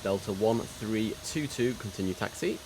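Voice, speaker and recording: A man's voice: on the low side, moderate pace. A man streaming a game, mixing casual commentary with air traffic control instructions over background noise.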